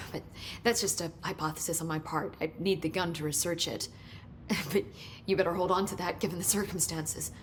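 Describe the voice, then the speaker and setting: A woman's voice: fairly low pitch, fairly even intonation, normal speed, a little hiss or hum, lightly acted. A woman playing an eager, talkative research scientist in a video game, explaining technical details keenly. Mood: thoughtful, curious.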